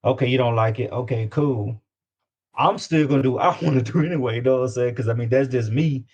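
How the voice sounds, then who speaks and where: A man's voice: mid-range voice, fast, darkish tone, slightly rough. A man from Louisiana with a Southern US accent, chatting casually as an interview guest, slangy and repeating himself for emphasis. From Louisiana, United States. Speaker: guest, independent music artist. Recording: dull, lo-fi sound.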